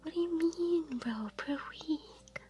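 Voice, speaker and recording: A woman whispering softly on a live stream, chatting with her viewers and giggling through her words. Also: high-pitched, takes it slowly, a little hiss or hum. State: laughing to tears.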